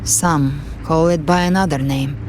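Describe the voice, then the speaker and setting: A woman playing a solemn, knowing guide of lost souls in a fantasy game, speaking in a calm, measured way. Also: low-pitched, faint music behind. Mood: solemn, explanatory. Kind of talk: dramatic fantasy game acting.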